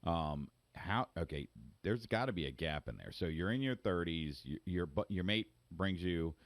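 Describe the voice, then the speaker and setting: A man with a very deep voice hosts an interview, his pitch swinging in a lively way. He asks questions eagerly, often repeating words as he forms them, and the recording sounds dry and close. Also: moderate pace, dry recording. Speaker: interview host. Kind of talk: casual interview.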